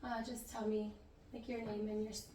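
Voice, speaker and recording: A woman who is hoarse from a cold, asking interview questions in a polite, slightly hesitant way. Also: mid-range voice, flat delivery, moderate pace, mellow. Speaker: student interviewer. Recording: roomy, distant mic, faint noise.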